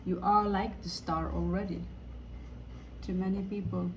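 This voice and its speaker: Slow-paced Norwegian woman reading tarot. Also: fairly low pitch, level tone, vocal fry, slight background noise, low-quality recording. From Norway. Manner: halting, pausing, repeats phrases. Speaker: tarot reader.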